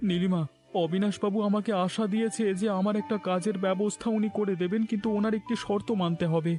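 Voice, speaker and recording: An earnest but scheming cartoon village husband, voiced by a man. He explains and reassures in a high male voice, with music in the background. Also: steady tone, quick pace, dark tone, natural voice. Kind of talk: cartoon voice acting.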